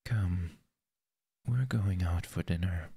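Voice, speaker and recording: A man playing a possessive, jealous lover in an audio roleplay. He speaks softly and intimately into a close microphone, often in a whisper. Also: deep voice, slow pace, creaky, full character voice. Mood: teasing.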